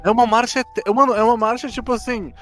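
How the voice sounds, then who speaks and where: A man's voice: very high-pitched, steady tone, quick pace, fairly bright tone. A man bantering on a podcast panel, slangy and argumentative in a mock-exasperated way. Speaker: podcast panelist and player in the campaign. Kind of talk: casual, bantering group chat. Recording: music behind the talk. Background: music.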